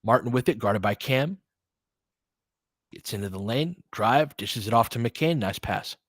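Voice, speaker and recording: A man's voice: mid-range voice, lively intonation, unhurried, dry recording, studio quality. A man doing informal basketball play-by-play as a host, chatting conversationally and thinking aloud. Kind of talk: casual basketball commentary mixed with chat replies.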